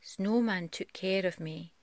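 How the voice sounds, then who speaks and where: A woman's voice: lowish voice, slow pace, darkish tone. A woman voicing an eager, trusting young boy rabbit from a storybook in a childlike, pleading manner. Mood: excited.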